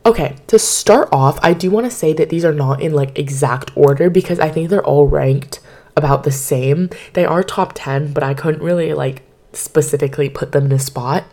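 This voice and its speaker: A woman hosting her podcast in casual chat, slightly hoarse from losing her voice, chatty and rambling with lots of fillers, recorded on her bed at home into a microphone. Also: low-pitched, moderate pace, mellow. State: slightly hoarse, partly lost voice. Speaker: podcast host.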